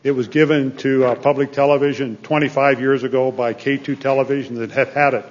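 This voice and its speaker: A man's voice: mid-range voice, flat delivery, fairly quick, slight background noise. A man speaking as a senator on a legislative floor, earnest and plain-spoken, often restarting his phrases. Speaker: state senator. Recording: dull lo-fi chamber audio.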